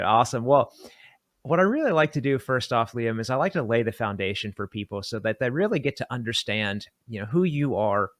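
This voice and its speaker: A man's voice: mid-range voice, dry recording, studio quality. A man hosting an interview podcast, delivering intros and questions to his guest. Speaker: podcast host.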